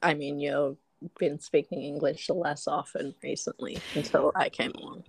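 Low-voiced easygoing woman podcast co-host. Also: fairly even intonation, moderate pace, breathy edge, no room sound, rough recording. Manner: easygoing, reassuring, heavy on "yeah" fillers. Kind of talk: candid conversational podcast chat.

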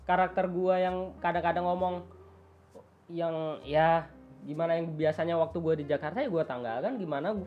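A man from Java talking in a lively, slangy way as a podcast host. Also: on the high side, moderate pace, phone-quality sound, a little hiss or hum. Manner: animated, chatty, slangy. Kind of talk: casual chat.

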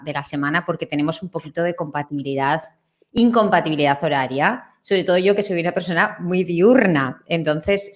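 Enthusiastic Spanish woman, lowish voice. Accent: Spanish from Spain. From Spain. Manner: enthusiastic, expressive. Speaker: singing teacher, guest. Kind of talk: casual interview chat.

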